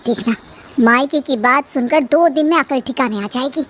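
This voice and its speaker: A woman's voice: high voice, moderate pace, a bit bright, soft background music. A woman voicing a scheming young sister-in-law in a moral story, confiding and confidently giving inciting advice. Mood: conspiratorial. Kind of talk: Hindi moral-story drama.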